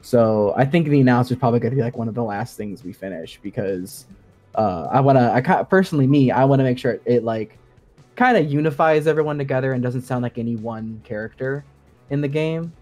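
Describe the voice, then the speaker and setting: Male podcast guest, sound designer, mid-pitched, keen and nerdy explainer, casual delivery, music underneath. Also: a little fast, darkish tone. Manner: enthusiastic, nerdy, chuckles as he explains.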